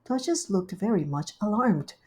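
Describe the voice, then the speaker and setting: A woman's voice: mid-range voice, expressive, moderate pace, dark tone, slightly rough. Woman reading a storybook aloud. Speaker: storybook reader. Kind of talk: children's story read-aloud.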